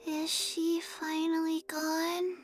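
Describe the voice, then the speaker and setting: Little undead girl for anime game: high, very slow, deadpan, forgetful. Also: soft background music. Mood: earnest, flat. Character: little zombie girl, flat, earnest, forgetful. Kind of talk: anime-style video game voice acting.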